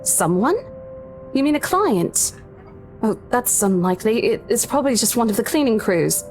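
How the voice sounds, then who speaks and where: Casting: a reassuring saleswoman colleague, fairly high voice, game music behind her. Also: animated, normal speed, a little mellow, poor audio. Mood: relieved. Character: caring older coworker, saleswoman, worried. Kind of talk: visual novel voice acting.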